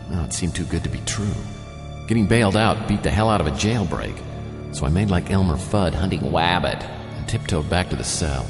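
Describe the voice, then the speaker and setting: An actor playing a wisecracking, world-weary private detective in a noir radio drama, with a wry, deadpan delivery. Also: male voice, low voice. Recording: roomy reverb, music underneath.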